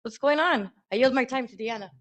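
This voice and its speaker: Quick, pointed woman at a public meeting. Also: on the high side, fairly bright tone. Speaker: public commenter.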